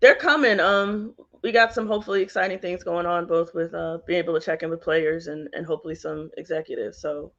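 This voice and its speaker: A woman's voice: on the low side, steady tone, brisk, hardly any pauses, a bit bright, dull audio. A woman in her thirties from Virginia co-hosting a podcast, chatting in a colloquial, wry way. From Virginia, United States. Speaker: podcast co-host. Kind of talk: casual podcast chat.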